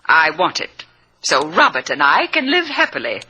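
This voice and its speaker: Genteel, bright-voiced murderess, swooping delivery. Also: female voice, low-pitched, wildly swooping pitch, moderate pace, slightly rough, dull audio, heavily acted. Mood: coolly menacing. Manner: genteel, coy, coolly menacing. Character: sweet-talking, ruthless Midwestern tourist murderess, married. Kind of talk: 1940s-50s radio drama acting.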